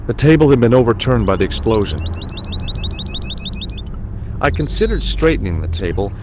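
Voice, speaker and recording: An actor playing a wry American adventurer in a video game, narrating his investigation in a dry, deadpan, measured way. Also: lowish voice, over music. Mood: wry, observant.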